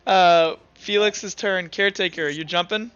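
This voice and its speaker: A man with a high, bright voice acts as game master in a casual, halting style. He thinks aloud as he narrates. The audio is dry and a little dull. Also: fairly even intonation, clear, resonant tone, dry recording.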